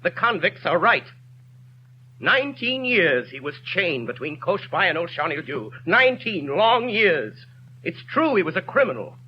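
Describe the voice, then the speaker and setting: A dignified mayor pleads before the court in rising, passionate oratory. His voice is high for a man and ranges widely. The sound is dull, lo-fi 1930s radio with faint hiss. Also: big pitch swings, moderate pace. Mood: grave. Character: mayor hiding his past as a convict, man, middle-aged, grave and passionate. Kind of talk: 1930s radio drama acting.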